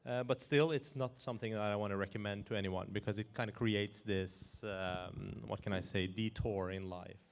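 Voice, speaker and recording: A man in his forties from Iran who grew up in Norway, talking as a guest in an interview in an animated way, chuckling and restarting his sentences. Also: fairly low pitch, normal speed, gravelly, few pauses, slight background noise. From Iran, raised in Norway. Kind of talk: casual interview talk.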